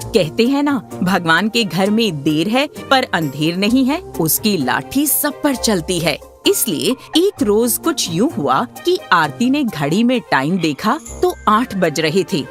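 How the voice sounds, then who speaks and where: A woman's voice: mid-range voice, big pitch swings, slow pace, few pauses, faint music behind. A woman narrator telling a scripted moral story expressively.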